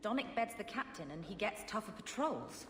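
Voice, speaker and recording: Lively gossiping townswoman played by an actress. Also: medium pitch, normal speed, roomy sound, long reverb tail, natural voice. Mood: snide. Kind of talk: video game background chatter. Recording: noisy game audio with music.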